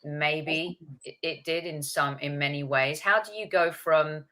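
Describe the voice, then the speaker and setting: A woman's voice: deep voice, unhurried, sharp tone. A woman in her fifties hosting an interview, asking long, winding questions. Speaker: host. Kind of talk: interview host.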